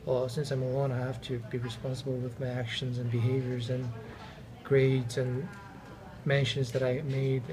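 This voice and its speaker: A man's voice: medium pitch, completely flat delivery, moderate pace, rough recording. A twenty-year-old man answers briefly and plainly. Crowd chatter runs in the background. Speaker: interviewee. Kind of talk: short interview answers.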